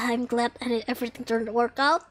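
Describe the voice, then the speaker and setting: Woman playing calm student engineer, high voice, measured, matter-of-fact, anime game. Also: heavy background noise, close to an everyday voice. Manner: measured, explanatory. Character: composed young female engineer student, matter-of-fact senior.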